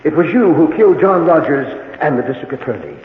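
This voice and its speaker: An actor playing an authoritative, headstrong skeptical judge, speaking formally and emphatically in old-time radio drama. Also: male voice, fairly high pitch, sing-song, fast, slight background noise. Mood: grave. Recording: tinny, echoey old radio recording.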